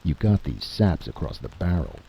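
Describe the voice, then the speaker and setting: A man voicing a brisk, slangy, cheerful army general in a story reading. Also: rumbling low, sing-song, gravelly, highs cut off, a little hiss or hum, exaggerated voice. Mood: hearty, pleased. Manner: slangy, blunt, expansive. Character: brisk, happy, plain-spoken male army general.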